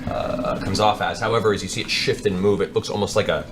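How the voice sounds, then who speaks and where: Guy with a lowish voice doing game master narration, descriptive and dramatic, tabletop fantasy stuff. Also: steady tone, quick pace.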